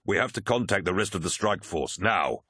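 A man's voice: sits low, animated, moderate pace, pushed and bright, breathy edge, no echo, clean studio sound, heavily acted. Man as a grave, urgent soldier captain. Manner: earnest, grave. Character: grave, urgent, loyal male soldier captain bringing grim warning.

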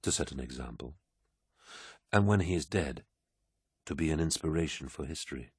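Man playing a very deep, husky brooding warlord. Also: expressive, dry recording, clean studio sound, exaggerated voice. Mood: wistful. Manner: grave, measured, imperious. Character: commanding, brooding armoured male warlord, proud and grand.

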